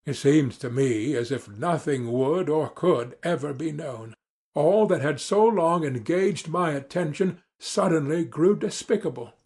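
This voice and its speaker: A man reading classic literature as a dramatic audiobook narrator, formal, measured and earnest. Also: fairly high pitch, animated, a little mellow, slightly rough.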